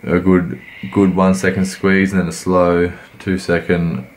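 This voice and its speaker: A man, croaky from a cold, giving laid-back, rambling voice-over commentary on his workout. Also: deep voice, little pitch movement, unhurried. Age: 20s. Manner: laid-back, rambling, many fillers and restarts. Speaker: fitness vlogger.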